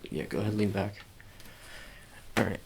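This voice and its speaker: A man doing soft-spoken ASMR roleplay as a shady sports agent, talking in a halting, casual, coaxing way and repeating his phrases. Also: lowish voice, gravelly, heavy background noise.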